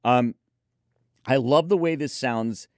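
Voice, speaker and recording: A man's voice: medium pitch, slow, no echo, clean studio sound. A man co-hosting a conversational gear review, giving his opinions with enthusiasm. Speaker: audio gear reviewer and co-host.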